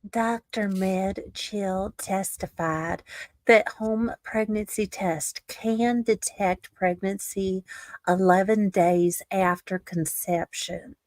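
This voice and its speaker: A woman's voice: mid-range voice, fairly even intonation, takes it slowly, darkish tone, no room sound. A woman reading a document aloud with sardonic, casual commentary. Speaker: co-reader in a dramatic reading stream.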